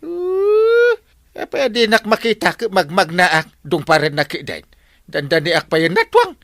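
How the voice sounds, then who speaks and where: A man's voice: sits high, wildly swooping pitch, measured pace, a bit bright, dry recording, exaggerated voice. A man acting a bumbling, evasive village captain in a comic radio drama, stammering and exclaiming with an Ilocano Filipino accent. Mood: flustered. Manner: stammering, flustered, repeated exclamations.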